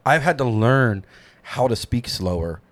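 A man's voice: mid-range voice, lively intonation, unhurried. A man from New Jersey hosting a podcast, chatting eagerly and rambling through restarts. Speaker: podcast host. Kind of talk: casual podcast chat.

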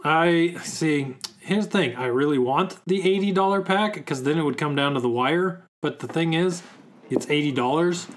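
A young man co-hosting a podcast in casual chat, slightly hoarse, laid-back and joking. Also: fairly high pitch, moderate pace. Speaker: podcast co-host.

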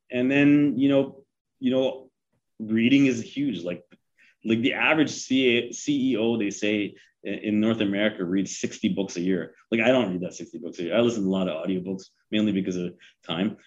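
Halting man in his forties, muffled audio. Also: lowish voice. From Sri Lanka. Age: 40s. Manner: halting, many fillers and restarts. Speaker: guest. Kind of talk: casual interview conversation.

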